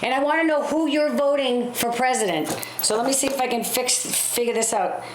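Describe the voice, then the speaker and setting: Brash hoarse woman talk show host. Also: high-pitched, fairly quick, roomy sound, noisy background. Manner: brash, teasing, pushy with callers. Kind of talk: call-in public access talk show.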